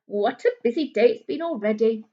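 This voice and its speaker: Woman, British accent, fairly high voice, primary teacher reading to small children, simple explanations, slightly muffled lo-fi recording. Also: expressive, brisk. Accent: British English.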